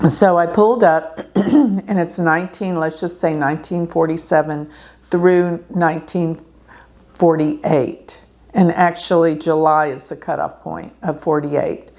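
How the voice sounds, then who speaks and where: A woman with a lowish, gravelly voice speaks slowly and earnestly as an astrologer warning her audience. Her talk is unhurried, with occasional throat clearing. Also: steady tone, a little mellow. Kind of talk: casual talk to camera.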